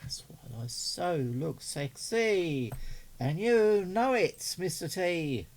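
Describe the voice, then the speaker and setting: A man's voice: high-pitched, animated, slow, a little mellow. A man giving casual, doting unboxing commentary as a hobbyist, chatting and muttering to himself as he works. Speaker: radio hobbyist vlogger.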